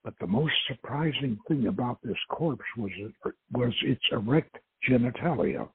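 A man reading an adventure story aloud in a dramatic narrating style, with a husky, gravelly voice and a halting delivery. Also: mid-range voice, lively intonation, slight background noise. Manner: halting, stumbles and corrects words. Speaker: host reading own novel aloud. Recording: tinny phone-line sound.

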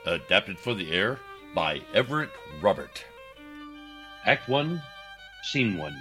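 Slow, bright-voiced man announcing. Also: low voice, very animated, faint music behind. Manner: dramatic, deliberate. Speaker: announcer and narrator. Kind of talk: scripted radio play narration.

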